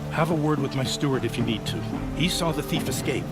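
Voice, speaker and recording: A man's voice: medium pitch, brisk, lightly acted. A man playing a proud, wealthy nobleman in a video game, with a florid, theatrical and formal way of talking. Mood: weary, sincere. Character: proud, wealthy nobleman, grandiose yet anxious for family. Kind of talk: video game drama acting. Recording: music behind the voice.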